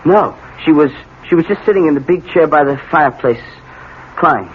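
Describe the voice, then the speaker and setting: An actor playing an earnest, guilty American teenage son with a hesitant, deferential manner, in 1970s radio drama style. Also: male voice, a little high, animated, normal speed, close to an everyday voice. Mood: anxious, guilty. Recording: muffled, noisy old radio recording.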